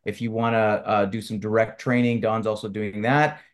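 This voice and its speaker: A man's voice: lowish voice, level tone, moderate pace. A man hosting a webinar, speaking conversationally as he makes announcements to the participants. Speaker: webinar host. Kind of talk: conversational announcements, closing a session.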